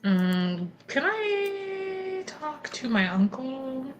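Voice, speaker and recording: A woman's voice: high-pitched, sing-song, drawn-out words. A woman who is a player in a tabletop game, chatting casually and improvising narration.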